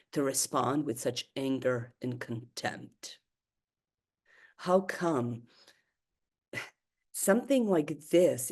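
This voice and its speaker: A woman's voice: low voice, darkish tone. Woman in her fifties, hesitant webinar lecture. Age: 50s. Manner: hesitant, many fillers and restarts. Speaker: therapist giving a webinar talk.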